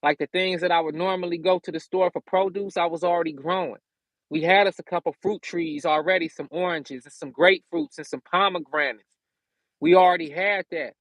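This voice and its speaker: A man's voice: sits high, steady tone, brisk, a bit bright, no room sound, poor audio. An American man from California talking casually on a livestream, giving slangy, conversational advice and repeating phrases for emphasis. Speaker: livestream host giving self-sufficiency advice.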